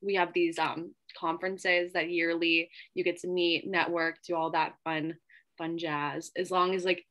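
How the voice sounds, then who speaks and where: A woman's voice: on the low side, bright, forceful tone. An American woman in her twenties, a student panelist from the Pittsburgh area, answering casually in a chatty, enthusiastic way. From Pittsburgh area, United States. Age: 20s.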